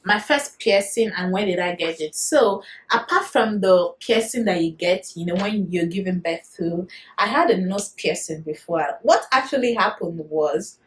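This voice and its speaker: A woman in her thirties with a Nigerian accent, chatting to camera in a casual vlog, animated and quick to laugh. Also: lowish voice. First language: Yoruba. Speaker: vlogger.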